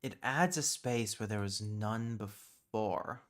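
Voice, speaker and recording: A man's voice: on the low side, takes it slowly, a bit bright. A man hosting a casual livestream, muttering and thinking aloud as he works, and easily exasperated. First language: British Sign Language. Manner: easily exasperated, swears under breath. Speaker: livestream host.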